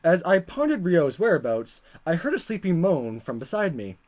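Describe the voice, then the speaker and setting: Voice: medium pitch, sing-song, moderate pace, a little mellow. A gaming video narrator reading a visual novel aloud, stumbling over lines and chuckling along. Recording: music under the voice. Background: game music.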